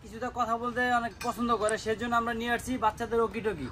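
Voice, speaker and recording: A Bangladeshi man giving a casual sales pitch as a shop seller, often checking in with short tag questions. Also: level tone, fast, bright, forceful tone. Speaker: toy shop seller presenting products.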